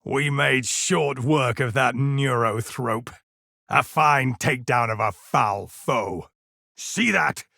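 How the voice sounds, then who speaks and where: An actor playing a confident, scornful space marine with a husky voice in a video game. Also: male voice, mid-range voice, takes it slowly, pushed and bright, dry recording, studio quality, exaggerated voice. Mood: proud scorn. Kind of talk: video game combat voice lines.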